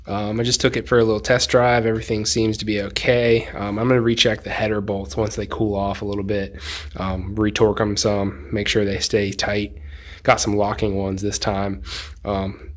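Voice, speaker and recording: A young man with a congested voice from a cold, casually talking through work on his project car in a home garage, with lots of ums and tips. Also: lowish voice. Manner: relaxed, rambling, lots of ums, offering tips. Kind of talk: casual garage build vlog.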